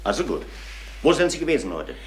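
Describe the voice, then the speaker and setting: Actor as a brisk, probing police inspector. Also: male voice, medium pitch, sing-song, natural voice. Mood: measured, probing. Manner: probing, curt questioning. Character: persistent, authoritative male police inspector. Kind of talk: German crime radio drama acting. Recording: roomy, noisy vintage radio drama.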